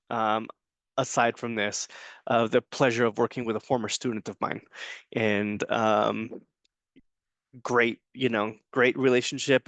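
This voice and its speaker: A man recording an introduction to his online course. He talks informally, with frequent ums and restarts. His voice is smooth and clear, captured dry and close with no room sound. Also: medium pitch, a bit bright, no echo. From United States. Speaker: adjunct Spanish professor.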